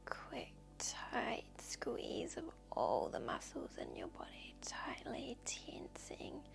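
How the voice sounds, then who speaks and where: A woman guiding a meditation in a soft, breathy, whispery voice, speaking gently and soothingly with long unhurried pauses. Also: fairly high pitch, wildly swooping pitch. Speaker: yoga nidra guide and host. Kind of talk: guided meditation narration. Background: background music.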